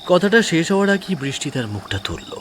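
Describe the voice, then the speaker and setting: A man's voice: fairly high pitch, very animated, fast talker, background noise. A man narrating a horror audio story dramatically, sometimes dropping to a whisper. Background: music.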